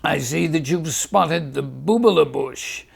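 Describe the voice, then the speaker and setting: A whooping old doctor in a hat, as a man puts him on, rolls out his rhymes in a sing-song lilt. The voice is gravelly and slow, pitched a touch high. It sounds like a storybook read aloud. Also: full character voice. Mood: jovial.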